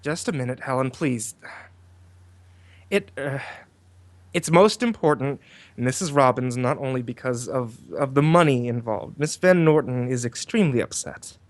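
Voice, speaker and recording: A man playing an earnest gentleman in an old time radio drama, hesitant, soothing and formal. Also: medium pitch, normal speed, natural voice. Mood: concerned, soothing. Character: earnest, placating gentleman calming an upset woman.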